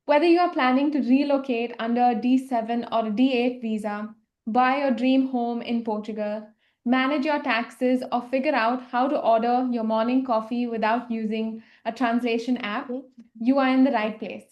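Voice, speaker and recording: Polished higher-voiced woman hosting. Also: fairly even intonation, moderate pace, echoey room, highs cut off. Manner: polished, formal and welcoming, reading a prepared script. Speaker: host. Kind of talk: scripted webinar introduction.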